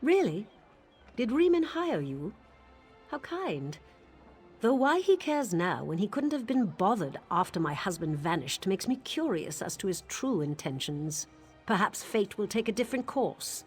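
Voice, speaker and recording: A woman's voice: medium pitch, sing-song, a little slow. An AI-voiced woman playing a suspicious, bitter widow in game dialogue, with faint music behind. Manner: guarded, sharp-edged, questioning.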